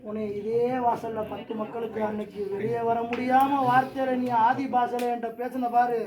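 Man, very high-pitched, ritual medium, possessed oracle speech, commanding, repetitive chant-like phrasing, demands assent. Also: level tone, moderate pace, a crowd in the background, rough recording. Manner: chanting in rhythmic repeated phrases, at times shouting. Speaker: ritual medium speaking as a grandfather deity. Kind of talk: possessed oracle pronouncements to a family.

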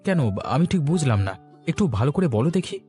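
A man's voice: a little high, sing-song, brisk, over music. A man voicing a troubled, conciliatory young husband in a cartoon, pleading and placating. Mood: concerned. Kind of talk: Bengali cartoon voice acting.

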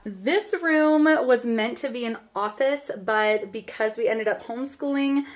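Woman, 30s, relaxed chatty home vlogger voice-over, faint music under her. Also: a little high, unhurried. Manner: chatty, relaxed, laughs often. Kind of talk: casual voice-over chat. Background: soft music underneath.

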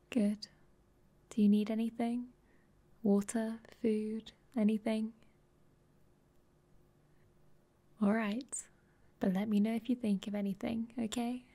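A woman playing a dominant, affectionate vampire girlfriend in an intimate ASMR roleplay, speaking softly and tenderly, often in a breathy whisper, close to the mic. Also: mid-range voice. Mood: caring. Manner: slow, tender, teasing. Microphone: close microphone.

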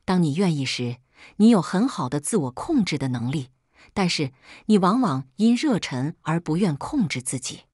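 A woman voicing a grave, caring male high priest who solemnly admonishes a pupil in an audiobook. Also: big pitch swings, normal speed, a little mellow, husky, no room sound, clean studio sound. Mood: earnest warning. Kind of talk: scripted audiobook narration.

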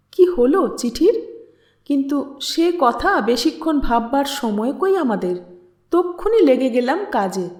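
A woman narrating a literary story expressively, in a measured, dramatic way with pauses. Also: sits high, a little mellow. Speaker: audiobook story reader. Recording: echoey room.

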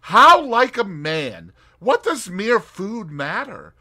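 A man reading an audiobook, voicing a brisk and determined young woman detective with emphatic, crisp speech. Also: high voice, sing-song, bright, forceful tone, pitched above the performer's own voice, heavily acted.

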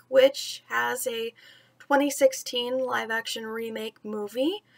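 A woman's voice: high-pitched, vocal fry. A young adult woman hosting a children's story series, chatting casually to camera from a room at home. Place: small room at home, indoors.

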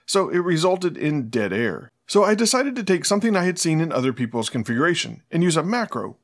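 A middle-aged man, presenting a scripted tutorial in a plain-spoken, explanatory manner. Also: a little high. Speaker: host of a smart home tutorial channel.

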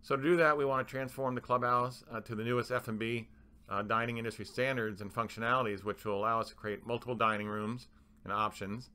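A man giving a halting, explanatory voice-over for a slide presentation, speaking as a manager laying out plans. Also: medium pitch, steady tone, sharp tone, clear, resonant tone.

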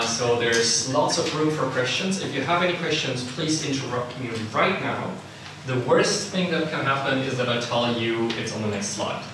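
Young Swiss man lecturing in an echoey room. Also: medium pitch. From Italian-speaking Switzerland. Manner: relaxed and self-deprecating, many ums and uhs. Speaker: seminar speaker. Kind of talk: technical lecture to a live audience. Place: lecture room with a projector screen. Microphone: far room camera, no visible mic. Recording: echoey room, distant mic, background noise.

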